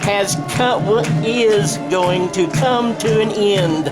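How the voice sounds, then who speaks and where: A man's voice: high-pitched, takes it slowly. A man doing radio-style sports play-by-play in a conversational way, as if thinking aloud. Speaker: play-by-play commentator. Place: high school football game. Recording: crowd and music behind. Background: stadium crowd and music.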